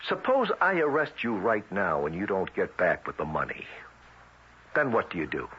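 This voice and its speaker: Low-voiced, hard-bitten vintage radio lawman. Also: very animated, moderate pace. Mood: confrontational. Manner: tough, colloquial, clipped. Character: hard-bitten male federal agent, streetwise and grimly vengeful. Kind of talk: 1970s radio drama acting. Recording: muffled vintage radio recording, faint hiss.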